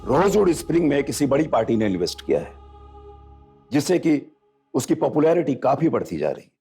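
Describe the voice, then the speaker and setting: A man's voice: mid-range voice, sing-song, a little fast, mellow, breathy edge, soft background music. A man playing a stern elderly grandfather and business patriarch in an audio drama, authoritative and commanding. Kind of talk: Hindi audio drama acting.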